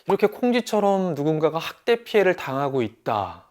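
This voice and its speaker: A man hosting an educational talk as an announcer, reacting in an expressive, reflective and sympathetic way. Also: fairly high pitch, moderate pace. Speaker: host, announcer. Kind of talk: presenting an educational talk about fairy tales. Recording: faint background noise.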